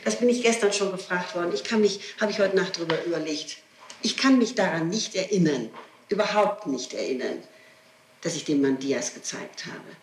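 A woman's voice: fairly low pitch, animated, moderate pace, far from the mic. A woman being questioned by police, answering defensively and hesitantly, often restarting her sentences. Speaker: suspect under questioning. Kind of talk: police interrogation. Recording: roomy archival tape with hiss.

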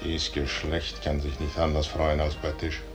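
An actor playing a proud, weary, fatalistic aging general in a grand, measured 1950s radio drama style. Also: male voice, extremely low pitch, completely flat delivery, over loud music. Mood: weary, musing. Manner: measured, grand, dismissive, declaiming. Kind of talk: 1950s German radio drama stage acting. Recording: noisy 1950s radio recording.

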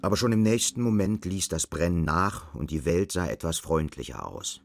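An actor playing a sober storyteller, narrating a bleak dystopian radio drama in a measured, matter-of-fact manner. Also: male voice, low-pitched, lively intonation, vocal fry, a little hiss or hum.

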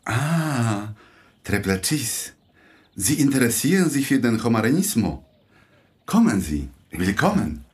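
Husky, animated idealistic doctor. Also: male voice, medium pitch, measured pace. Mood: welcoming, earnest. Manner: courteous, earnest, gently ironic. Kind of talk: radio play acting.

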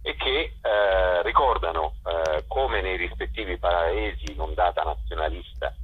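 Man, husky tone, festival director in a radio interview, halting unscripted presentation, thin telephone-quality sound. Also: sits low. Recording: narrow, tinny phone-like radio line.

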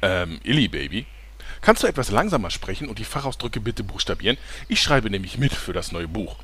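A man's voice: on the low side, very animated, brisk, fairly bright tone, slight background noise. A man playing a fussy, chummy chronicler in a German fantasy parody audio drama. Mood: fussy, wheedling. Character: fussy, chummy male chronicler taking notes.

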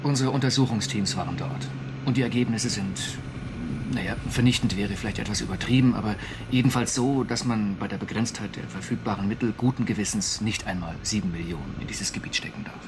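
An actor playing a conscientious, uneasy government official in a radio drama, speaking formally and hesitantly with a husky voice. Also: male voice, fairly low pitch, a little fast, noisy background, lightly acted. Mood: evasive, curt. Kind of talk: German radio drama acting.

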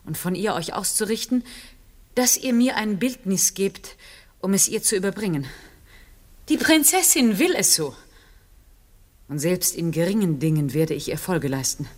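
A woman's voice: medium pitch, big pitch swings, moderate pace, long pauses, darkish tone. An actress playing a proud, troubled noblewoman in a classical verse radio drama, formal and emotional in her declamation. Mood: guarded dissembling.

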